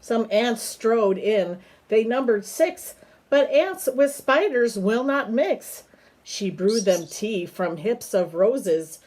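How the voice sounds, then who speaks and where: Female storyteller for young children, slightly high pitch, very measured pace, coaxing question-asking manner. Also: animated, a little mellow, low-quality recording. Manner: unhurried, asks simple coaxing questions. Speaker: storyteller reading a picture book aloud. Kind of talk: children's read-aloud with chatty asides to a bird.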